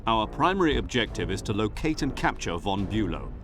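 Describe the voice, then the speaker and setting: An actor playing a businesslike wartime intelligence agent in a video game, speaking with brisk authority. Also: male voice, lowish voice, sing-song, over music. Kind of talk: dramatic game cutscene dialogue.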